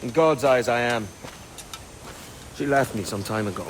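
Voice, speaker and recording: An actor playing a roguish, practical pirate captain in a game, casual and wryly sceptical. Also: male voice, medium pitch, moderate pace, a little hiss or hum, close to an everyday voice, low-quality recording. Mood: reflective. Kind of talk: game cutscene drama acting.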